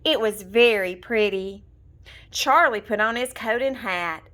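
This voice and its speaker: A woman reading a children's storybook aloud in an expressive, deliberate storytelling manner. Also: medium pitch. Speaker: storybook reader. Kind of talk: scripted children's story narration, read aloud.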